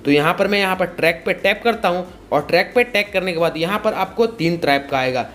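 A man's voice: high voice, a little fast, a bit bright. A young man giving a casual, repetitive step-by-step tutorial. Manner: repetitive, explanatory. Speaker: tutorial host.

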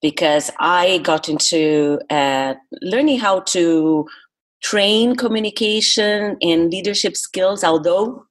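Husky low-voiced Brazilian woman. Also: slow. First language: Portuguese. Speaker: guest, public speaking coach. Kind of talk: interview talk.

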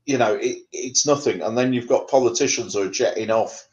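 Middle-aged English poet, rhythmic rhyming delivery, home video call. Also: male voice, mid-range voice, a little fast, darkish tone, muffled recording. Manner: rhythmic rhyming recitation, then conversational with fillers. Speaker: poet guest. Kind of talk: poetry reading then interview answers. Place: home room.

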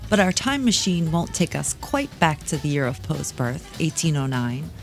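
A woman's voice: low voice, very animated, moderate pace, faint music behind. A woman reading scripted promotional narration as an announcer. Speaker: podcast announcer.

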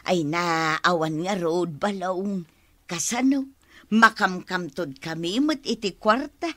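A woman playing a chatty, wheedling older village aunt in an Ilocano comedy radio drama, coaxing with drawn-out exclamations. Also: lowish voice, dry recording.

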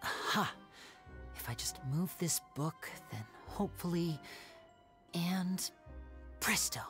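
A suave young magician from a video game, theatrical and playful. The pitch swings widely as he muses, with soft music underneath. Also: lively intonation, takes it slowly. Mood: musing. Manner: theatrical, musing.

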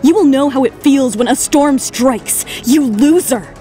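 Casting: actress as cool, cutting female model, high voice, audio drama with faint music. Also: a little slow, mellow. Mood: vengeful. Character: cool, defiant woman model, composed and cutting.

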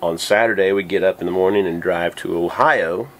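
A man in his forties with a low, deep voice, talking casually and relaxed aboard a sailboat. He speaks close to a handheld camera, with a faint hiss of noise behind him. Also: a little fast. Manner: relaxed, explaining plans. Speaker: sailing vlogger. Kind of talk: casual vlog talk. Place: sailboat cabin and cockpit.

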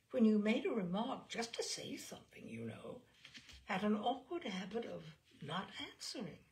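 A woman reading a literary short story aloud as a storyteller, with expressive, measured phrasing. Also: mid-range voice, poor audio. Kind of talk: literary audiobook narration.

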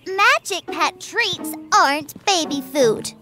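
A woman voicing an excited, cheerful young girl in a cartoon. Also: very high voice, slow, exaggerated voice. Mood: alarmed. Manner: bright, excited, eager. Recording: cartoon soundtrack with loud music.

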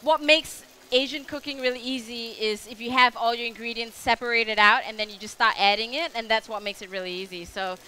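A young Australian woman talks a live crowd through a recipe on a show-stage kitchen, chatty and rambling, full of fillers. Her voice is bright and fairly high, over a faint room murmur. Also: steady tone, a little fast, hardly any pauses, a little hiss or hum. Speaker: cooking demonstrator. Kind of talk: live cooking demo.

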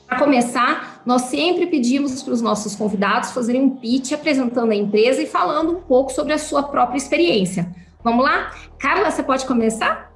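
A woman with a Brazilian Portuguese accent hosting a podcast, giving clear, measured introductions. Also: high-pitched, animated. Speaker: podcast host. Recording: roomy echo, faint music.

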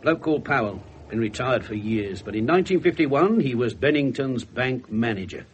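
An actor playing a wry, blunt English detective inspector in a radio drama, talking colloquially. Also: male voice, mid-range voice, animated, normal speed, slight background noise, natural voice. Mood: wry, conversational. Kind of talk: 1970s British radio drama acting.

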